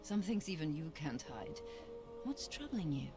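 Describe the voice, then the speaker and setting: An actress playing a steadfast, righteous paladin commander in a video game, firm and composed. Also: female voice, deep voice, very animated, a little slow. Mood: stern, resolute. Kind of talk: video game dialogue acting. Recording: music behind.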